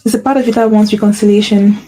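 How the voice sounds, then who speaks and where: A woman with a mellow, soft-edged voice talks straight to her listeners as a spiritual coach, circling back over the same points and asking "right?" as she goes. She mentions a stutter and corrects herself often. There is a faint hiss behind her. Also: mid-range voice, steady tone, fast talker. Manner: earnest, repetitive, with frequent "right?" check-ins and self-corrections. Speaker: podcast host and spiritual coach. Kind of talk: solo motivational talk.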